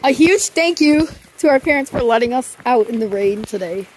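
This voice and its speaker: A woman's voice: fairly high pitch, expressive, moderate pace, rough recording. A young woman narrating a casual handheld vlog outdoors at night in the rain, chatty and giggly as she describes what she films. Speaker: vlogger filming outdoors. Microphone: handheld camera mic.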